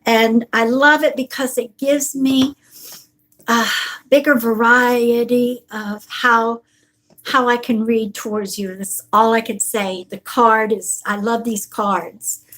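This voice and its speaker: Woman psychic hosting a live stream, high voice, slow delivery, congested from a cold. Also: flat delivery. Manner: slow, warm greetings, calls viewers honey and sweetheart. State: stuffy with a cold. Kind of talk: live stream greeting viewers. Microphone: device on a table.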